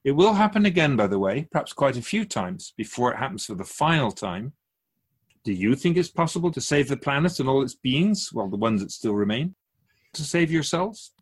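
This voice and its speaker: A man playing a wise, otherworldly spirit in a radio drama, patient and gently teasing, speaking in a measured, formal way with musing questions. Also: mid-range voice, big pitch swings, breathy edge. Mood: musing, encouraging.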